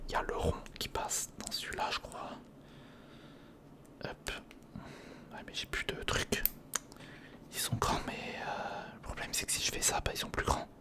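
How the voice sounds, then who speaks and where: An ASMR creator speaking in a breathy, unvoiced whisper, casually thinking aloud and repeating words, close to the microphone. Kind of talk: ASMR, whispered build-along commentary. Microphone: close recorder microphone.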